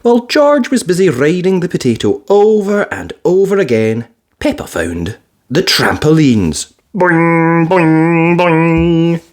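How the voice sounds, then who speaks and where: A woman reading a children's picture book aloud as storyteller, expressive and playful with sound words. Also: on the low side, takes it slowly.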